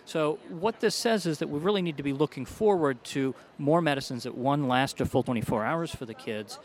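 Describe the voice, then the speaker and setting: A man, a doctor, answering interview questions about a study in a measured, explanatory way. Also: fairly high pitch. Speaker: doctor, allergy specialist.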